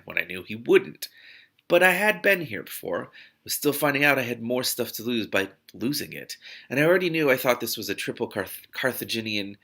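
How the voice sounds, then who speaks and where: A man's voice: mid-range voice, sharp tone. A man hosting a read-aloud, reading a novel expressively and breaking off for enthusiastic asides. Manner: enthusiastic, interjects reactions. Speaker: host of a book read-aloud show. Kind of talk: expressive audiobook-style reading with spontaneous asides.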